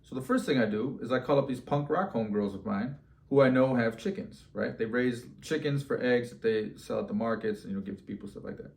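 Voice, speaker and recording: A man telling a story in a casual, slangy, animated way, acting out bits of dialogue as he goes. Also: medium pitch, a little fast, creaky. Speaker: storyteller and host.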